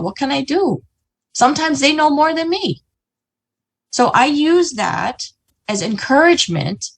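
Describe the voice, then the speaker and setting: A woman's voice: on the high side, breathy edge. A woman giving a chatty motivational business talk on a video call, often asking "right?" and "you know". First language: English. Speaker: business presenter.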